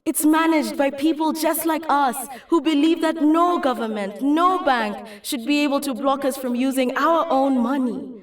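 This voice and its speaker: An idealistic young Kenyan woman played by an actress speaks in a high voice. She is earnest and persuasive, like an activist explaining her cause in a motion comic. The sound is echoey and set back from the mic. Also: normal speed, few pauses, recorded from a distance, natural voice. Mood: earnest, explanatory. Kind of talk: dramatic motion comic dialogue and speech.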